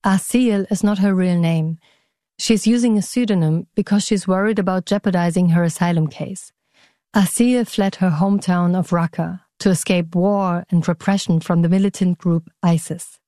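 A woman reporter narrating a news story in a measured, calm way. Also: on the low side, dry recording, studio quality.

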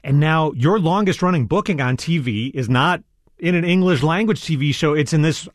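A man's voice: fairly high pitch, normal speed, dry recording, studio quality. A man hosting an interview, asking questions in a friendly, curious and self-deprecating way. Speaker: interview host. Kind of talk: conversational interview.

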